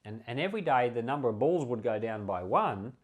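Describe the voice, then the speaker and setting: A man's voice: medium pitch, lively intonation, brisk. A man giving informal Bible teaching as a pastor, speaking earnestly and conversationally with emphatic repetition. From Australia. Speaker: pastor, Bible podcast host.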